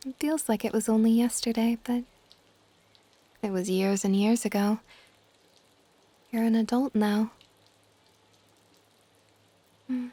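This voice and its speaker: A woman voicing an ancient, gentle and possessive dragon goddess in a soft, intimate, partly whispered ASMR roleplay. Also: a little high. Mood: tender, wistful. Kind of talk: ASMR romantic audio roleplay.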